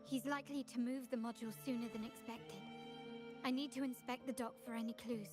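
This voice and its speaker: A woman plays a poised young heiress in a video game. Her voice sits a little high, and she speaks formally with calm resolve while game music runs underneath. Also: a little fast, lightly acted. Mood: composed. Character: poised young noblewoman, family heir. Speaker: game character.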